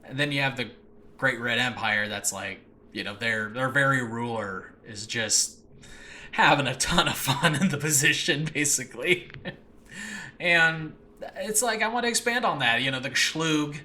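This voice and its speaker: A man hosting a solo podcast, chatting casually and excitedly about his own creative project in an animated, off-the-cuff way. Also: medium pitch, a little slow. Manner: enthusiastic, animated, peppered with chuckles and mild swearing. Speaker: podcast host and audio drama creator. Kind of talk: casual solo rambling chat about a show in progress. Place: indoors in a makeshift home space. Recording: faint background noise, makeshift home recording space.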